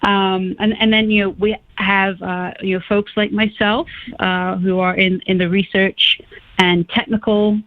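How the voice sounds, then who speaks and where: Guest: woman, middle-aged, faint Liverpool accent, halting and full of fillers, heard over a tinny phone line. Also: mid-range voice, little pitch movement. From England, Liverpool. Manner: halting, many fillers and restarts. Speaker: guest, pest management scientist. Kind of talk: radio interview.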